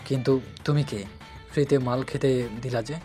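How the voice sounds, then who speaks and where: A man's voice: mid-range voice, fairly even intonation, normal speed, dark tone, faint music behind, lightly acted. A man playing a crude drunken thug in an audio story, coarse and sneering. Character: crude drunken male thug, leering and menacing.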